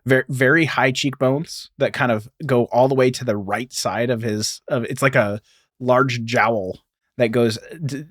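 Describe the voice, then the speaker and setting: Male podcast co-host, mid-pitched, explanatory and conversational, self-correcting, very dry sound. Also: fairly even intonation, unhurried, a bit bright, dry recording. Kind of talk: casual explanatory chat with some reading aloud.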